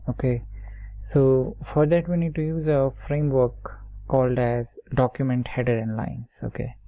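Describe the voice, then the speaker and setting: A man's voice: medium pitch, dark tone, no room sound. A man giving an informal step-by-step screen-share coding tutorial, often checking in with short tags and muttering words as he types. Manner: explains step by step, often checking with "okay" and "right". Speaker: software tutorial instructor.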